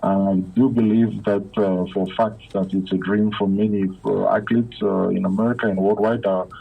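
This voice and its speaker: Halting gravelly man on a phone line. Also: on the low side, flat delivery. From Belgium. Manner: halting, many repeated words and fillers. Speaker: guest, former pro football player. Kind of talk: interview over a phone line. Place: Africa.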